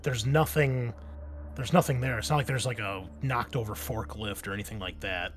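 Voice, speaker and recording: Man, American accent, game master, improvised narration, conversational, descriptive, mid-pitched voice. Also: normal speed. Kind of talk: improvised tabletop game narration with casual table talk.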